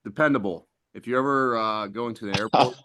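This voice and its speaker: A man's voice: mid-range voice, a little fast, fairly bright tone. A man co-hosting a podcast, teasing his co-host in playful casual chat.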